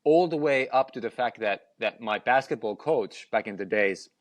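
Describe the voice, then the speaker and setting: A man's voice: medium pitch, expressive, moderate pace, no echo. A man, a guest on a podcast, speaking reflectively and often repeating words as he gathers his thoughts. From Finland. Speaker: guest, health and lifestyle coach. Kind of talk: conversational podcast interview.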